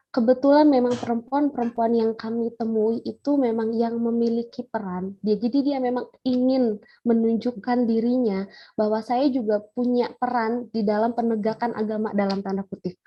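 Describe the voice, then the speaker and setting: A woman's voice: on the high side, fairly even intonation, a little fast, dull audio. A woman with an Indonesian accent, a researcher answering questions at a conference, hesitant and searching for her words. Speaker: researcher presenting her study.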